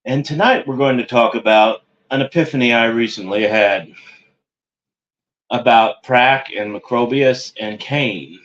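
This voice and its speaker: A man's voice: medium pitch, fairly bright tone, highs cut off. A man hosting an internet show, delivering a meandering, sardonic monologue that keeps correcting itself. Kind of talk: rambling monologue commentary.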